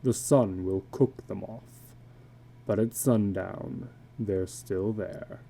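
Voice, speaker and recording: Man voicing a slow, low-pitched, weary carnival drifter. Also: creaky, dark tone, full character voice. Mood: haunted, sorrowful. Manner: slow, heavy, resigned delivery. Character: weary, heavyset tattooed carnival drifter, a middle-aged man. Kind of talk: dramatic storybook reading.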